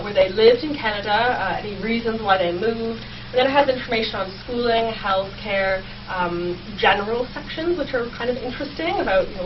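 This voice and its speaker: Young woman interviewee, distant and noisy. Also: fairly high pitch. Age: young adult. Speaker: guest researcher, history PhD student. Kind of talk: conversational research interview. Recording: distant, dull, clearly noisy sound.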